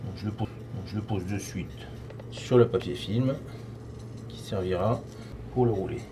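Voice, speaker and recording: Low-voiced chef in a noisy kitchen. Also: male voice, normal speed. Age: middle-aged. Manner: informal, talking while working with his hands. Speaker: chef demonstrating a recipe. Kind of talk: casual step-by-step cooking demonstration. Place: professional restaurant kitchen, tiled, indoors. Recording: clearly noisy kitchen with clattering dishes. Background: loud kitchen ambience, clattering pans and cutlery.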